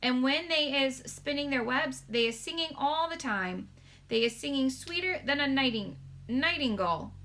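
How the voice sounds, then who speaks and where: Storybook giant, male character woman performing: sincere, touchy, folksy phrasing, forceful bright tone. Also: sits high, lively intonation, normal speed, slight background noise, voice pushed up. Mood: excited. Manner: folksy muddled grammar, emphatic telling. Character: earnest, touchy giant man with muddled grammar. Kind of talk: storybook read-aloud character voice.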